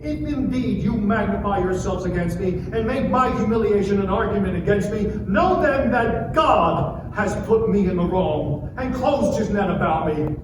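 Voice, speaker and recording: A man's voice: high-pitched, expressive, normal speed, hardly any pauses. A man in a dramatic scripture reading, playing a wronged sufferer who pleads and protests in an impassioned, declamatory way. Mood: bitter anguish. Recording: very echoey, far-off mic, noisy live room.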